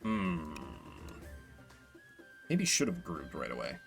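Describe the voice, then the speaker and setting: A man's voice: very deep voice, huge pitch swings, takes it slowly, creaky, fairly bright tone, soft background music. A man giving casual, wry solo commentary as he streams a video game.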